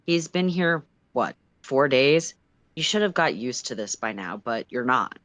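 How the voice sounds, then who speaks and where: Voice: medium pitch, very animated, measured pace, fairly bright tone, no echo. A storyteller reading fan fiction aloud in the second person, with an emphatic, dramatic and exasperated delivery. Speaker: storytime livestream reader.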